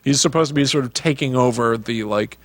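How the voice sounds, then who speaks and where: Guy podcast co-host just chatting movies, mid pitched voice, dry close sound. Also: a little fast, dry recording. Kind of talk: casual movie chat with quoted lines.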